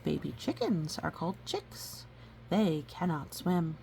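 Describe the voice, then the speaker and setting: A woman reading a storybook aloud gently and adding educational asides. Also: low voice, big pitch swings, a little slow, vocal fry. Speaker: storybook reader. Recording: faint background noise.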